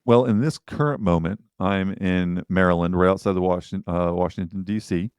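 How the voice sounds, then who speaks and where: A man with a very deep voice muses earnestly as a podcast co-host, in a relaxed conversational tone. The sound is dry and close to the mic. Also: moderate pace, clear, resonant tone, no echo. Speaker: podcast co-host, firm owner. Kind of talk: casual chat.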